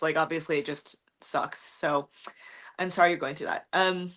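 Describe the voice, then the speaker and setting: A young woman with a naturally deep, low-set voice talks through viewer questions in a loose, rambling way. She is blunt and swears freely, and her sentences are padded with ums and likes. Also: fairly bright tone. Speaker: content creator answering viewer questions. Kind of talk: casual livestream chat.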